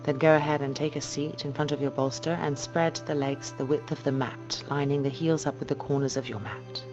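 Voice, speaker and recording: A woman yoga teacher guiding a meditation in a slow, soft, calming and measured way. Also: very deep voice, music in the background. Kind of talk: guided meditation instruction. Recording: dull muffled recording with noise and hum.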